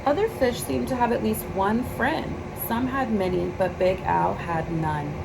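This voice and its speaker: A woman's voice: medium pitch, unhurried. A woman reading a children's picture book aloud in a storybook narration style. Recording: clear steady background noise.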